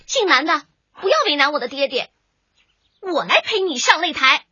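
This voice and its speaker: Woman acting a bold, bright-voiced defiant daughter. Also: high-pitched, sing-song, normal speed, no room sound, muffled recording, exaggerated voice. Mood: defiant, challenging. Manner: challenging, clipped, emphatic. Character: defiant young martial artist daughter, proud, bold. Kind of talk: radio drama acting.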